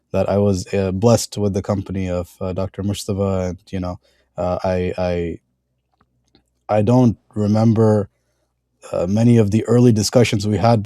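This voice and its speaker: Low, flat-voiced male podcast host. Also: no echo, studio quality. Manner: halting, many fillers and restarts. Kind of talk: conversational interview introduction.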